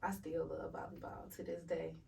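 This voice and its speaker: A woman's voice: deep voice, completely flat delivery, moderate pace, creaky, warm tone, recorded from a distance. A woman in her thirties, a podcast guest talking candidly and casually about her life, with an easygoing manner. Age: 30s. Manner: laid-back, laughs things off, candid. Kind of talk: casual interview chat.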